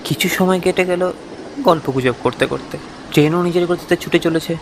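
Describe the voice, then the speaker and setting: Higher-voiced male horror narrator, very noisy. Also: normal speed, vocal fry. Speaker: first-person story narrator. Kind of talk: dramatic scripted horror storytelling.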